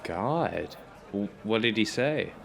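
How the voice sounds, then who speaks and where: A man's voice: lowish voice, expressive, slow pace, gravelly, full character voice. An actor playing an attentive male friend listening over dinner in an audio drama. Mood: quiet amazement.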